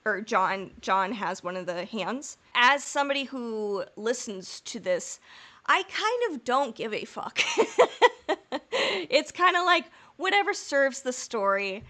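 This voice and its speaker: A woman's voice: a little high, very animated, slow, pushed and bright. A woman co-hosting a podcast in casual chat. She talks in long, rambling, candid stretches, swears freely and laughs through her own jokes. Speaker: podcast co-host.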